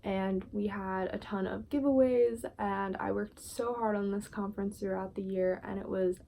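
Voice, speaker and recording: Young woman in her twenties, casual vlogger, talkative with lots of "like", mellow voice. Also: mid-range voice, fairly even intonation, moderate pace, creaky, slight background noise. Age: 20s. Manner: chatty, fillers like "like". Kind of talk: casual vlog recap.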